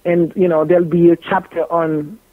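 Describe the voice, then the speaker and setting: A woman's voice: lowish voice, flat delivery, moderate pace, dry recording. Blunt Haitian French-accented woman, phone-like sound. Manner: blunt, joking, emphatic with repetition. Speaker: guest, gynecologist. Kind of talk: animated radio interview talk. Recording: tinny phone-like line.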